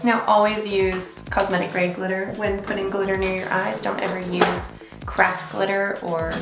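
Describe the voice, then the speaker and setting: A young woman giving a casual, chatty beauty tutorial in an effusive, rambling way, as host. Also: medium pitch. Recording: roomy echo, music behind. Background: music.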